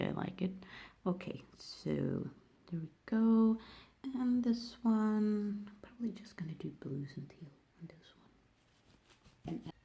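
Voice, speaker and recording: Mellow, creaky Swedish-accented woman, unhurried. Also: on the low side, dull audio. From Sweden. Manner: hesitant, searching for words. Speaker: nail technician making tutorial videos. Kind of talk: casual hands-on tutorial chat.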